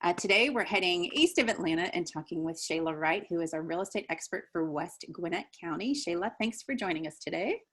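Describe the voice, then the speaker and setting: A woman hosting an interview, upbeat and encouraging, with quick replies. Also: fairly low pitch, a bit bright, slightly rough. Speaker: interview host. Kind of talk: casual interview.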